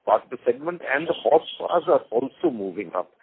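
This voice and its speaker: Indian exec guy on a conference call, creaky voice, really lively pitch, stresses points by repeating, tinny phone sound. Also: brisk, slight background noise. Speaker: company executive on an earnings call. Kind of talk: business explanation to analysts. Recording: band-limited conference phone line.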